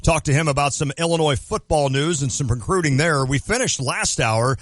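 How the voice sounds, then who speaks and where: A man's voice: fairly high pitch, fairly quick, fairly bright tone, no room sound. A man co-hosting a sports radio show, bantering in a playful, joking way. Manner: playful, jokey, sings bits.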